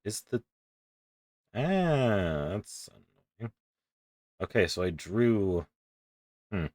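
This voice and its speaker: A young man with a very deep, low voice talks through his drawing in loose, rambling chatter, cursing offhandedly and poking fun at himself. The sound is dry and close, with no room around it. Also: big pitch swings, very slow, a little mellow, no room sound. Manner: rambling, self-deprecating, swears casually. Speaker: streamer. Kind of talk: casual commentary while drawing.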